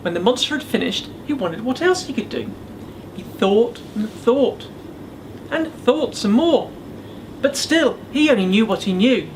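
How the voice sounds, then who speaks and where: Male author, expressive children's storybook reading, faint background noise. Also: extremely high pitch, unhurried. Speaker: author reading his picture book.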